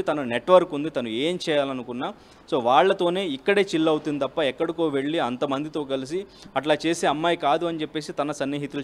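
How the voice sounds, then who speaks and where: A man giving a live, unscripted news report in long run-on explanations. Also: on the high side.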